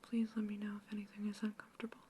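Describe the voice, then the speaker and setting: A woman whispering gently through an ASMR roleplay, asking calm questions as an examiner. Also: medium pitch, racing, a little hiss or hum. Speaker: ASMR artist playing an examiner.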